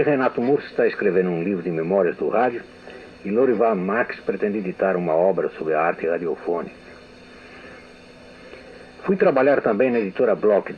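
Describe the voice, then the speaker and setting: Older male radio veteran, measured reflective delivery; thin phone-like archival sound. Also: low voice. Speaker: radio writer giving a testimony. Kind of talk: memoir reading. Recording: tinny lo-fi archival audio with hiss.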